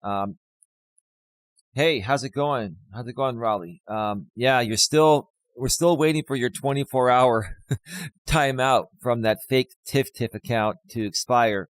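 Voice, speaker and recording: Man, hoarse voice, life coach on a live stream, rambling with fillers, dry close sound. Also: medium pitch, slow, no room sound. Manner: rambling, many fillers, talks straight to viewers. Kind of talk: casual live-stream talk.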